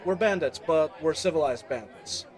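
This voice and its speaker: A man's voice: on the high side, animated, normal speed, darkish tone, a faint crowd, close to an everyday voice. A man playing a charming, cocky bandit crew leader in an audio roleplay, with a teasing, swaggering, conversational manner. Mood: easy authority. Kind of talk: dramatic audio roleplay acting.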